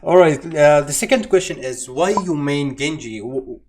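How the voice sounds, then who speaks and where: A man hosts a casual gaming interview. His voice sits a little high and he talks quickly. He is enthusiastic and agreeable, with plenty of slang. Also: expressive, darkish tone, slight background noise. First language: Arabic. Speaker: interviewer and host.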